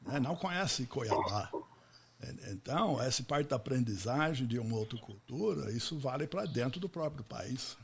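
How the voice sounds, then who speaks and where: A man's voice: mid-range voice, lively intonation, normal speed, gravelly, background noise. Man chatting in Portuguese. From United States. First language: English. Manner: chatty, checks in with tag questions. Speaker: podcast host. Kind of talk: casual interview chat.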